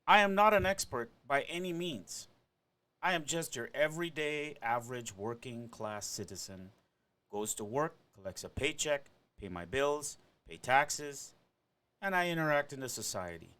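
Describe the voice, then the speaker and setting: A man's voice: mid-range voice, animated, moderate pace, sharp tone, clean tone. A man in his forties, from Trinidad and Tobago and Venezuela, rambling through a personal monologue as a podcaster and often ending thoughts with "right?".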